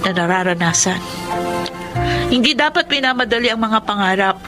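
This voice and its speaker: An actress plays a devoted young student daughter in a radio drama. She speaks earnestly and with deep feeling, at a middling pitch, with prominent music underneath. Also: expressive, normal speed, close to an everyday voice. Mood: solemn, proud. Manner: emotional, heartfelt delivery. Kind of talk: Filipino radio drama acting, dramatic monologue. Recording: loud dramatic music bed.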